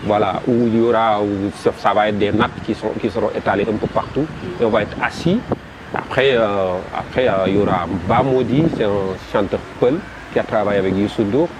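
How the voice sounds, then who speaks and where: A man with a Senegalese French accent, a festival promoter describing his event in an interview, halting and repeating words as he goes. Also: lowish voice. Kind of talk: interview answers. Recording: noisy background.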